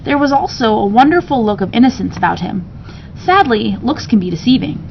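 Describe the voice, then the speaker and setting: A woman's voice: fairly high pitch, big pitch swings, brisk, vocal fry, lo-fi sound, slight background noise. A woman reading a children's story aloud expressively, like a teacher to students, with casual asides.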